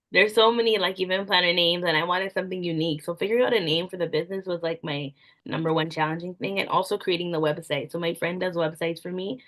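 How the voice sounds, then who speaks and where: A young woman talks through her experiences in a relaxed, conversational way. Her voice sits slightly low, and she leans on "like" as a filler. She sounds unhurried and sincere. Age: young adult. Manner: soft-spoken, frequent "like" fillers. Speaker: event planner guest. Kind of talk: casual interview answers.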